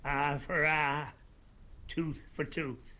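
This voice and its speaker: An actor playing a grave, goading Appalachian uncle in a radio drama, speaking deliberately. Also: male voice, on the high side, little pitch movement, pushed and bright, full character voice. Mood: urging.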